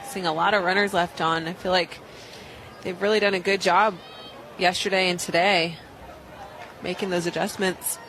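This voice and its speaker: A woman giving informal, conversational color commentary on a live softball radio broadcast. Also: fairly low pitch, fairly even intonation, normal speed, pauses often. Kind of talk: live sports analysis and booth chat. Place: outdoor softball stadium broadcast booth. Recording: loud crowd and outdoor stadium noise. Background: crowd noise.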